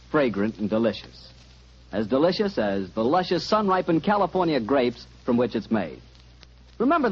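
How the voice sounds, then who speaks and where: Animated American vintage radio announcer, man. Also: medium pitch, husky. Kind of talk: 1940s radio announcing and sponsor pitch. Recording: dull 1940s radio broadcast, music behind.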